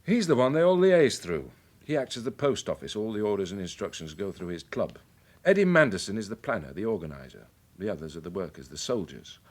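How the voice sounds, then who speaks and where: An actor playing a brisk, authoritative senior police officer in a radio drama, measured and matter-of-fact. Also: male voice, fairly low pitch, very animated. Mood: grave, briefing. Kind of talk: naturalistic radio drama acting.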